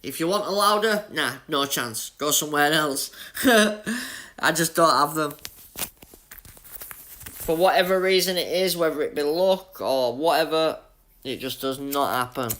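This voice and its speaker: Man, Yorkshire accent, slightly higher voice, casual streamer chat, jokey. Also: unhurried, pauses often, pushed and bright. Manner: chatty, jokey, greets chat by name, laughs often. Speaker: gaming livestreamer. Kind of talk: casual live-stream chat with viewers while playing.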